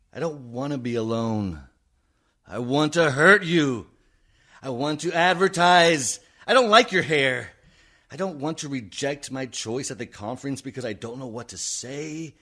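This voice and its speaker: A man's voice: medium pitch, animated, unhurried. An actor playing a desperate, outraged man delivering a declamatory monologue in a radio comedy. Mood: agitated. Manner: declamatory, building from controlled to shouting. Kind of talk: theatrical radio-play monologue.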